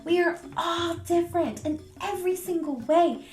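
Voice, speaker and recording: High voiced lady doing storytime for kids, very expressive, echoey room, music behind. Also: normal speed. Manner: animated, addressing young children. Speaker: teacher reading aloud to children. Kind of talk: expressive children's storybook reading and talk.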